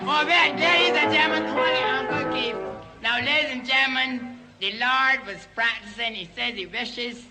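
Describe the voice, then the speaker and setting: A man's voice: very high-pitched, moderate pace, loud music behind the voice. A man doing a live comedy stage act in dialect, teasing and mock-deferential.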